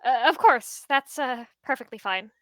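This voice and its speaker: Girl doing a squeaky bright young warrior tomcat, flustered, stammers a lot, dry close mic. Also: expressive, moderate pace, dry recording.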